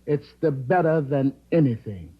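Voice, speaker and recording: A man's voice: mid-range voice, expressive, moderate pace, husky, dull audio. An actor playing a polite, playful, loyal male calypso musician in old-time radio mystery style. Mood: obliging, playful. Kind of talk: 1940s-50s radio mystery acting. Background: plucked guitar music.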